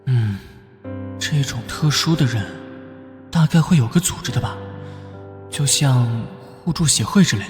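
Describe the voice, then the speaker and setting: A man playing an earnest young police detective in an animated drama, serious and brooding. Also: mid-range voice, a little slow, pauses often, music in the background, natural voice. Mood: pensive.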